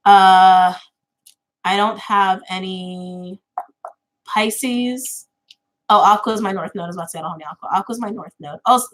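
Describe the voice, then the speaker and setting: A woman's voice: medium pitch, a bit bright, rough recording. A woman in her twenties chatting casually with viewers on a livestream, full of fillers and easy laughter. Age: 20s. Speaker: tarot channel host.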